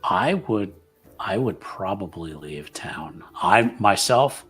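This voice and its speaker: A man voicing an earnest, cautious tavern-goer in a tabletop roleplaying game, polite and halting, often repeating words. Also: fairly low pitch, expressive, a bit bright, slightly rough, soft background music, full character voice. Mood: grave, concerned. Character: soft-spoken, earnest male tavern regular, cautiously warning.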